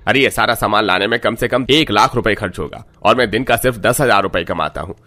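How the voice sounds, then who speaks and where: A man's voice: lowish voice, brisk, pushed and bright. A man playing an indignant, fed-up rich husband in a cartoon story, scolding as he talks. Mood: exasperated. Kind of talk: cartoon moral-story dialogue.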